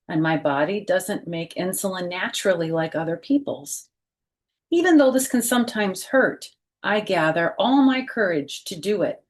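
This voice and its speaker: A woman hosting a children's storytime, reading aloud expressively and speaking directly to young listeners with questions and simple explanations. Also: mid-range voice.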